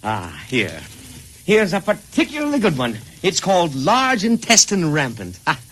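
An actor playing an eccentric, boastful struggling painter with a breezy, chatty manner, in old-time radio comedy style. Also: male voice, a little high, wildly swooping pitch, moderate pace, fairly bright tone, exaggerated voice. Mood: eager, oblivious. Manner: breezy, chatty, proud of his own work. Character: eccentric struggling painter, boastful, oblivious, sly, eager to show off.

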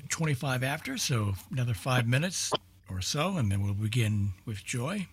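A man's voice: medium pitch, animated, normal speed, breathy edge. A man chatting casually about a hobby, as a participant in an informal radio talk. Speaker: old-time radio show participant discussing lost shows. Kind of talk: casual chat.

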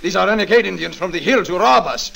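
Hot-headed, animated young rancher's son. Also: male voice, high voice, fast, gravelly, full character voice. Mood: heated, defiant. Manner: vehement, defensive. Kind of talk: 1940s radio acting. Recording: 1940s radio broadcast recording.

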